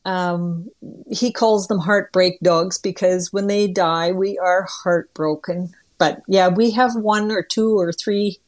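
A woman's voice: lowish voice, steady tone, takes it slowly. A middle-aged or older woman from northern Ontario with a Canadian accent, chatting casually as an interview guest in a folksy, plain-spoken way.

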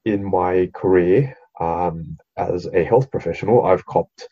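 A man's voice: low voice, measured pace, mellow, husky, muffled recording. A young Australian man with an Australian accent, co-hosting a podcast in a laid-back way, with lots of ums and casual swearing. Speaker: podcast co-host. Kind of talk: casual chat.

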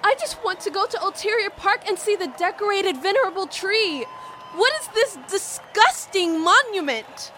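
A woman's voice: very high-pitched, animated, normal speed, bright, forceful tone, slight background noise, full character voice. A woman voicing Marion, an old female forest critter who is indignant and distressed.

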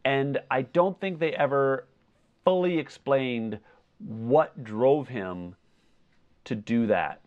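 A man's voice: medium pitch, slow, phone-quality sound. A man co-hosting a podcast, giving his opinions on film in a casual, tentative way. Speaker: podcast co-host. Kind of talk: casual film review chat.

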